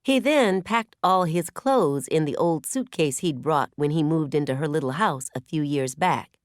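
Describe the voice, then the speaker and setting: A woman reading a novel aloud as an audiobook narrator, with expressive storytelling delivery. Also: sits low, normal speed, no echo, studio quality. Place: dry recording studio.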